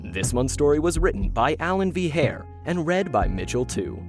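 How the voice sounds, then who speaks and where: A man's voice: a little high, big pitch swings, normal speed. A man reading scripted sponsor messages and credits as a podcast announcer. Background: music.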